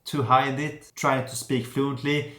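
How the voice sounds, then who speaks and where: A young man from Sweden, speaking calmly and thoughtfully about himself, stammering with repeated sounds and restarts. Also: mid-range voice, measured pace. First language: Swedish. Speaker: vlogger sharing his stutter. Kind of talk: personal reflective talk to camera. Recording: roomy echo.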